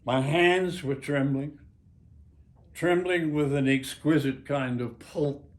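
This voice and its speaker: Slow-spoken American man in his nineties. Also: on the high side, steady tone. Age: 90s. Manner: halting, deliberate, pausing between short phrases. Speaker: author reading own work. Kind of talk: reading an author's note aloud to a small gathering.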